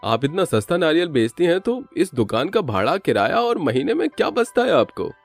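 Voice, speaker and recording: Man acting a high, courteous inquisitive reporter. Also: big pitch swings, moderate pace, vocal fry, soft background music, exaggerated voice. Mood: earnest, admiring. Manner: polite, formal questioning.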